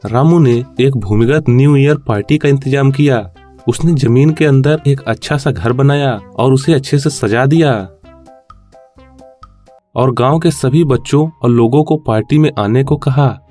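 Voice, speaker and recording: A man's voice: mid-range voice, a little slow, mellow. Male narrator for a children's moral tale, mid-range voice, light music underneath. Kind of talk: scripted children's story narration.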